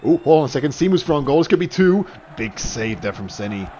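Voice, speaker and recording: A man's voice: fairly high pitch, very animated, brisk, darkish tone, lo-fi sound, slight background noise. A young man commentating a live online match in a chatty way, voice jumping up on goals and saves. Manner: chatty, rises sharply on goals and saves, fillers and restarts.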